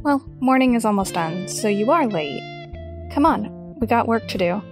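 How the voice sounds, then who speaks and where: A woman's voice: a little high, lively intonation, normal speed, music in the background. A woman playing a bossy, dryly teasing teenage girl in an audio drama. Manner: dry, teasing, directive.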